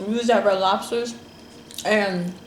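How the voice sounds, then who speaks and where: A chatty woman from Jamaica hosts a food video, talking at an everyday middle pitch. She rambles loosely with plenty of fillers as she tells her stories. The room sounds echoey, with faint noise in the background. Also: fairly even intonation, a little slow. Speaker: mukbang host. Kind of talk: casual chat while eating.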